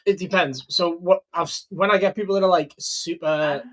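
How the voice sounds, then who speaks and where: A man's voice: high-pitched, moderate pace, fairly bright tone. A British man in casual, slangy conversation, dropping in "like" and "mate" as he talks through sales technique. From United Kingdom. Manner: informal, slangy, says "like" and "mate". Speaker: sales closer reviewing his old call. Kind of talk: casual commentary and sales talk.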